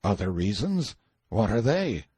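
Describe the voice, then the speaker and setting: Man playing a brash, animated stranded time traveler. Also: on the low side, a little slow, husky, no room sound, exaggerated voice. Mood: beseeching. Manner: blunt, emphatic, colloquial. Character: brash, sardonic, self-assured man stranded in King Arthur's court.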